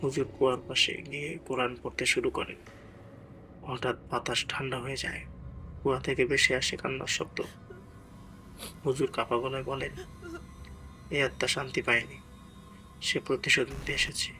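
Male storyteller, creaky forceful voice, mid-pitched, suspenseful ghost story narration. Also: little pitch movement, normal speed, long pauses, soft background music. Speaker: horror story narrator and channel host.

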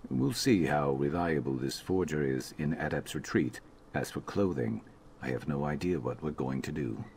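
Very deep, gruff reptilian outlaw. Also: male voice, lively intonation, normal speed, a little mellow, slight background noise. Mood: wary. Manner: curt, dry, dismissive. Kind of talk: fantasy video game dialogue.

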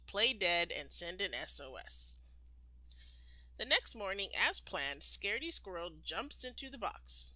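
A woman reading a children's picture book aloud expressively, like a storytime teacher, with deliberate, emphatic phrasing. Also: lowish voice, bright, forceful tone, dry recording, poor audio.

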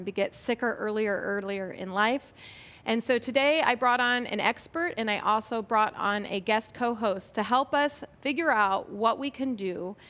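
A woman in her forties hosting a pet podcast, chatting in a friendly way with speeding and slowing pace, on a lapel mic in a bright studio. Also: on the high side. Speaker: podcast host. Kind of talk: casual chat.

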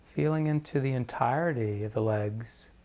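A man with a mid-pitched voice guides a meditation practice. He speaks like an instructor, in measured, deliberate phrases. He leaves space between one phrase and the next. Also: darkish tone. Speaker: meditation teacher.

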